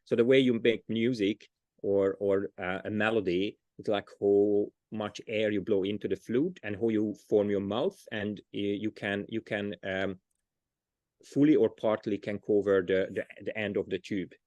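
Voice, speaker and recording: A man's voice: on the low side, steady tone, normal speed, a little mellow, dry recording, muffled recording. A man with a Hungarian accent, a storyteller being interviewed, talking casually and often restarting as he searches for words. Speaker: storyteller, guest.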